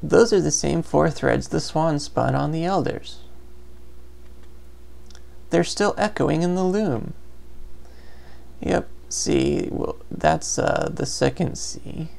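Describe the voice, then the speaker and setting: A man's voice: on the high side, slow, creaky, long pauses, darkish tone. A man giving casual gameplay commentary, explaining things in an informal, rambling way. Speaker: Let's Play commentator.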